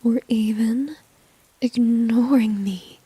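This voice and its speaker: Breathy whispering flirty villainess played by a woman. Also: on the high side, steady tone, warm tone, dry recording, heavily acted. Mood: mocking, flirty. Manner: slow, coy, mocking, drawn-out teasing. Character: flirty, dominant female villain, teasing and condescending. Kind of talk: whispered ASMR roleplay.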